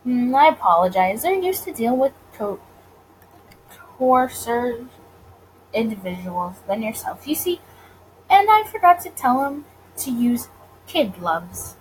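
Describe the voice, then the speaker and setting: A woman voicing a suave, playful male mobster in a visual novel, smooth, composed and teasing. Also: fairly high pitch, sing-song, a little slow, pauses often, a little hiss or hum, low-quality recording. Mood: smoothly apologetic. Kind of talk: visual novel character reading.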